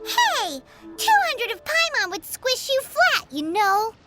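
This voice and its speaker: A squeaky, chattering fairy companion. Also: female voice, takes it slowly, heavily acted. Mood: teasing. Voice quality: high, squeaky. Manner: excitable, talks about herself in third person. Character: tiny floating fairy companion, chatty and bossy. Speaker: game companion. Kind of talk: video game voice acting. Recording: game audio with music behind. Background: game music.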